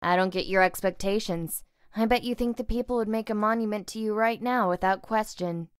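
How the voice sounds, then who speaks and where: A woman voicing an aloof, sardonic squad member in a visual novel, deadpan and unimpressed. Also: mid-range voice, a little fast, smooth, dry recording, clean studio sound, lightly acted.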